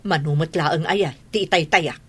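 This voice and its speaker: A woman's voice: deep voice, normal speed, husky. A woman acting in a radio drama as a defiant, wheedling wife and mother who gambles. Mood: defensive.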